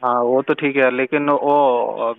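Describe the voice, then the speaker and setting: Indian guy calling in on a tinny phone, clear smooth voice, hesitant but keeps asking. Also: medium pitch, steady tone, slow. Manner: hesitant, persistent questioning. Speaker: caller. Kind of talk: informal phone enquiry. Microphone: phone call recording. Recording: tinny narrow phone line.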